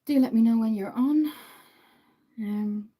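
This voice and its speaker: Woman, Irish accent, Dublin, young adult, mellow warm tone, chatty, frequent ums, livestream host. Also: on the high side, slow. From Ireland. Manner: chatty, apologetic, greets viewers by name, frequent ums. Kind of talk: casual live-stream chat while doing makeup.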